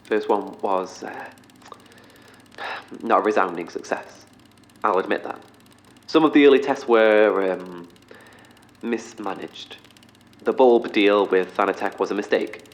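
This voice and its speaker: An actor playing an eccentric professor in an audio drama, speaking in a formal, wordy, slightly awkward corporate manner. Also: male voice, on the low side, lightly acted. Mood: defensive, halting. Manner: formal, wordy, halting under pressure. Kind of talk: orientation film and meeting speech in audio drama.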